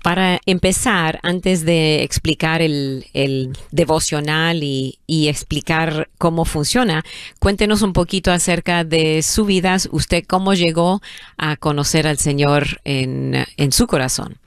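A woman radio host with a very low voice who speaks slowly. She puts her questions in a measured, deliberate way, and the sound is close and dry. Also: steady tone, clean tone, no room sound. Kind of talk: hosted radio interview.

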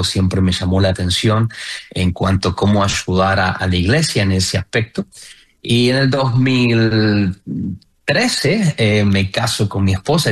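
An earnest man in his thirties with an Argentine accent, his voice sitting a little on the low side. He talks in an unhurried storytelling way as a guest on a radio interview. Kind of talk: casual interview talk.

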